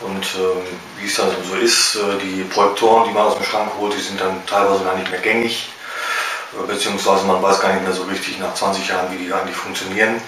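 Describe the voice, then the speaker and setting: A middle-aged man with a Ruhr German accent speaks in a somewhat low voice, pausing often on filler sounds. He is picked up from a few feet away, so his voice carries strong room echo. A steady hiss of noise runs underneath. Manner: halting, many filler sounds. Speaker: small business owner. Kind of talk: trade fair interview statement. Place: indoor room with shelves. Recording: roomy echo from a distance.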